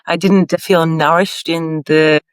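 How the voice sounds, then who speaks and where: An earnest woman guest, born in Ireland and raised in Australia, speaks in a mid-pitched voice. She gropes for her words, restarts often and laughs easily. The recording is dry, with no echo. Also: fairly even intonation, slow, clean tone. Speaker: podcast guest. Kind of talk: conversational interview.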